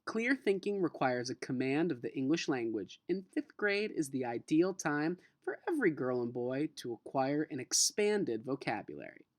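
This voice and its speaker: A man's voice: mid-range voice, sing-song, moderate pace, hardly any pauses, dry recording, lightly acted. A man voicing a strict, formal woman schoolteacher from a storybook, with emphatic delivery. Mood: formal, instructive. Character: strict, formal woman teacher devoted to dictionaries.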